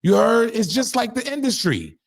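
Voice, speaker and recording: A man's voice: extremely high pitch, very animated, moderate pace, creaky. A man from Harlem with a New York accent, hosting his own commentary channel and talking casually to the camera, emphatic and repeating rhetorical questions to his viewers.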